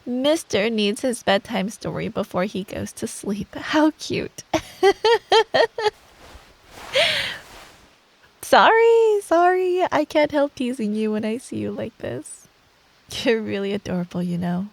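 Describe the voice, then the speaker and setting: Woman acting caring girlfriend, ASMR roleplay, slightly high soft voice, creaky edges, intimate, playfully teasing. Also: animated, exaggerated voice. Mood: amused, teasing. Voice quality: soft, breathy. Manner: slow, affectionate, playfully teasing. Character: sweet, teasing, caring girlfriend telling an improvised bedtime story.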